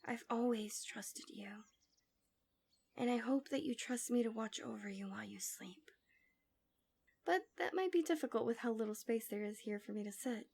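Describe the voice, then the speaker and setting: A tender but manipulative villainess acted by a woman speaks in an intimate ASMR roleplay. Her voice sits a little high and stays gentle and breathy, often sinking into a whisper. She coaxes and soothes like a possessive, seductive captor. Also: normal speed. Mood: gentle coaxing.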